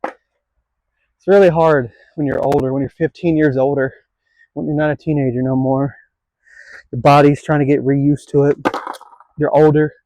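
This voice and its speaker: A man's voice: fairly high pitch, level tone, normal speed, pauses often, warm tone, dry recording, poor audio. A man in his late twenties filming a casual skateboarding vlog, rambling and self-deprecating, swearing now and then. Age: 20s. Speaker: skateboarding vlogger.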